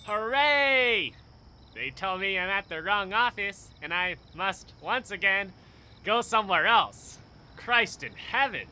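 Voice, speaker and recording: Bitter veteran on crutches: high, piercingly bright, exasperated and sarcastic, radio play with faint outdoor ambience. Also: male voice, expressive, slow pace, a little hiss or hum, exaggerated voice. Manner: indignant, sarcastic complaining.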